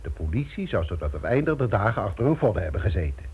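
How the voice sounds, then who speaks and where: An actor in a radio play voicing an eager, scheming security man with a rough voice, insistent and persuasive. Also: lowish voice, very animated, quick pace, full character voice. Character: eager, scheming male security-firm employee pitching a heist. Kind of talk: Dutch radio play acting. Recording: tinny, band-limited old radio recording.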